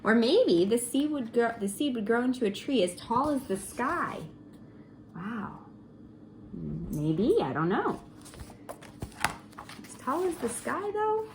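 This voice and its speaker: A woman reading a story aloud for children in an expressive, coaxing way, pausing for chatty teacherly asides. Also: on the high side, a little hiss or hum, poor audio. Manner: animated, coaxing, pausing to comment and wonder.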